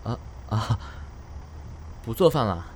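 A man's voice: medium pitch, expressive, slow pace, slight background noise. A man playing a plain-spoken, guarded young celebrity actor in an audio drama, casual and understated. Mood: casual, a little awkward. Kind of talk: Chinese audio drama acting.

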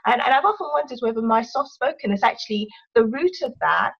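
Soft-spoken English woman, muffled line. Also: a little high, animated, fairly quick, creaky, breathy edge. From United Kingdom. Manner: thoughtful, with many "you know" fillers. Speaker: guest. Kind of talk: reflective interview talk.

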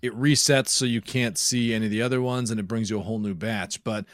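A man's voice: mid-range voice, steady tone, fast, dry recording. Casual male podcast host, dry close sound.

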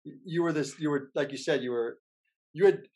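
A man talks casually as an interviewer. His voice sits a little high for a man, and he explains things haltingly, often doubling back on his words. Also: a little mellow. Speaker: interviewer, podcast co-host. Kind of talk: casual fan conversation.

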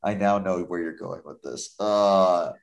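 Young male podcast co-host, lowish voice, casual and dry. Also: measured pace, creaky. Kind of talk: casual sports podcast chat.

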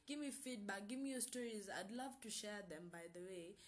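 A woman's voice: medium pitch, lively intonation, moderate pace. Kenyan woman, twenties, solo podcast host; bubbly hype-girl energy, code-switching chat. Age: 20s. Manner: bubbly, hyped, mixes Swahili slang into English. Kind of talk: casual solo podcast intro.

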